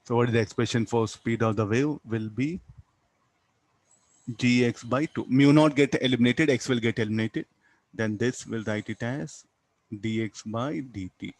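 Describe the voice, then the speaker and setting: A man with an Indian accent teaching physics, explaining step by step in a halting way, repeating words and tagging on "huh" and "okay". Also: medium pitch. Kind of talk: classroom lesson, explaining a worked problem.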